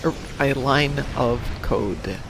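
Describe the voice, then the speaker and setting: A man's voice: mid-range voice, takes it slowly, creaky, a little hiss or hum. A man from Chicago hosting a livestream, chatting casually while playing a game, a little stuffy from a cold. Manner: chatty, animated, reads viewer comments aloud. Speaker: stream host.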